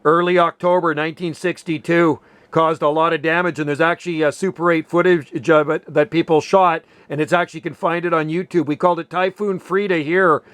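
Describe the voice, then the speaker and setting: An enthusiastic man in his sixties, chatting casually on the radio and tossing in "man" again and again. His voice is smooth and clear, a little high for a man, and sounds dry and close. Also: steady tone, a little fast, few pauses, fairly bright tone, no echo. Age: 60s. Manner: enthusiastic, says "man" often. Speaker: ham radio operator, channel host. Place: home on a Pacific Northwest island.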